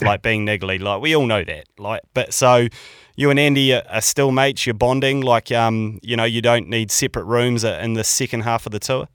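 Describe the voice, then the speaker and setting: A man's voice: medium pitch, a bit bright, dry recording. A man hosting a podcast interview, teasing his guests and saying "mate" and "like" a lot. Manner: jokey, teasing, says "like" and "mate" often. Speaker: podcast host. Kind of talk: casual interview chat.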